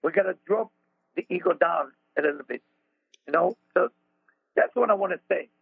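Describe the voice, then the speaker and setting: A man's voice: sits high, normal speed, vocal fry, breathy edge, dry recording. A man with an Israeli accent, a spiritual teacher telling a story on a radio talk show in earnest, confessional tones and broken English phrasing. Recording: dry phone-line sound.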